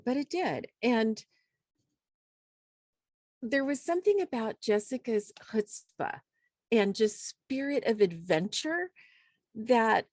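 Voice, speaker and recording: A woman hosting a poetry reading, giving informal, effusive and reflective introductions with thoughtful pauses. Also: mid-range voice, a little mellow, no room sound, clean studio sound. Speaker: host and poet. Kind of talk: warm, informal event introduction.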